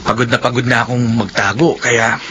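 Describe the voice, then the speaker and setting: A man's voice: medium pitch, steady tone, brisk, sharp tone, slight background noise, natural voice. An actor playing a frustrated, proud young man in a secret love, in a melodramatic Filipino audio drama. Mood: frustrated pleading.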